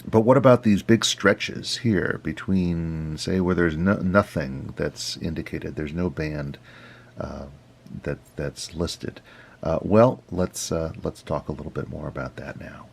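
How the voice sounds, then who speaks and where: A man hosting an informal tutorial, explaining things step by step in an unhurried, halting way. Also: rumbling low, gravelly, husky. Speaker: host, radio hobby instructor.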